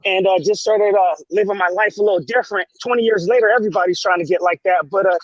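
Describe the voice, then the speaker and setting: A man's voice: high-pitched, steady tone, fast talker, dry recording. A man in his forties calling in to a livestream, laid-back and blunt with some profanity. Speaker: caller. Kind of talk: casual call-in chat. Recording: dry, dull lo-fi call audio.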